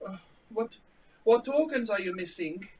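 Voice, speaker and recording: A man's voice: sits high, animated, a little slow, a little mellow, recorded from a distance, low-quality recording. A man playing a timid, eager helper at an expedition camp, who hesitates and fumbles for his words. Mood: nervous. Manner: hesitant, fumbling, full of ums. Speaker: actor in a telepresence improv rehearsal.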